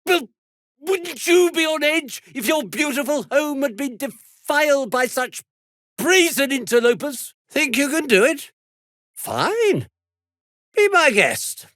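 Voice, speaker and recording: An actor playing a pompous, jittery, self-serving merchant in a video game, speaking with a British accent in a haughty, indignant manner that falters nervously. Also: male voice, extremely high pitch, very animated, slow, a bit bright, dry recording, clean studio sound, exaggerated voice. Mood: haughty, affronted. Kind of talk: video game dramatic acting.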